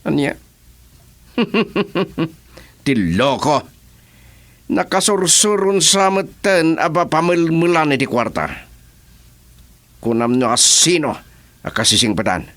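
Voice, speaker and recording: Radio drama villain: Ilocano-accented corrupt boss, taunting, slow, widely swinging intonation. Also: male voice, fairly high pitch, animated, a bit bright. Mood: scornful, scheming. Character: scheming, corrupt factory boss, threatening and mocking.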